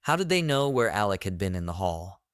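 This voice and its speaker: A man narrates a first-person horror tale with deliberate, literary phrasing. His voice sits on the low side and is smooth and clear. The recording is dry and close, like a voice-over. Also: big pitch swings, no room sound.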